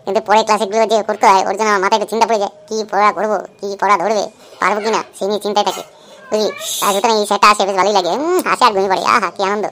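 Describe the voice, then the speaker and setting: A woman playing a carefree, lazy young pupil in a comedy cartoon, gabbling with excitable glee. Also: medium pitch, rapid-fire, a little hiss or hum, natural voice. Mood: gleeful.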